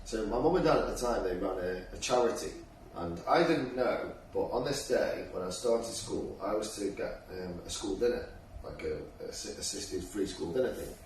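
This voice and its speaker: A man's voice: on the low side, lively intonation, moderate pace, hardly any pauses. A man hosting a live community talk show, chatting casually and sharing personal stories. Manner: conversational, shares personal stories. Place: community lounge at a railway station. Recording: very echoey room, distant mic, faint noise.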